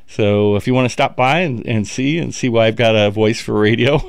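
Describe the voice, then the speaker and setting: A man working as a radio DJ, announcing on air in a rambling, halting way with many fillers and chuckles. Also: mid-range voice, gravelly, clear, resonant tone, dry recording, clean studio sound. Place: radio studio. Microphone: studio microphone.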